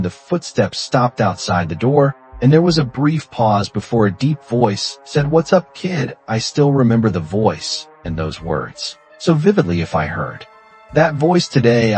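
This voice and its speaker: A man reading scripted first-person horror stories as a narrator. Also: lowish voice. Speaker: horror story channel narrator. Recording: music bed under voice. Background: music.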